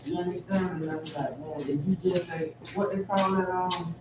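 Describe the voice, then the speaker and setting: A man's voice: high voice, steady tone, moderate pace. Man in his forties, rambling in echo. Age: 40s. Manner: rambling storytelling with hesitations. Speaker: group member. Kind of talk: casual group sharing. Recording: very echoey room from far off, noisy.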